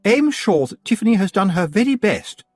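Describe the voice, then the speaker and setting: A man's voice: high voice, sing-song, normal speed, a little mellow, soft background music, pitched above the performer's own voice. Prim, sugary witch, as a man puts her on. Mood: honeyed. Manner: falsely sweet, overly polite. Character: prim, sugary middle-aged witch, woman.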